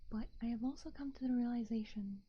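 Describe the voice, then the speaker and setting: A woman livestreamer chatting casually with her viewers in a soft, breathy ASMR whisper, playful and self-deprecating, into a binaural microphone. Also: on the high side, fast, highs cut off. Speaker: livestreamer, ASMR roleplay host.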